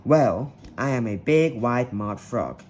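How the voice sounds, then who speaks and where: A man voicing a brash, boastful male frog in a children's storybook, shouting his lines. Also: mid-range voice, very animated, takes it slowly, vocal fry, slight background noise, exaggerated voice. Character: loud boastful big-mouthed male frog.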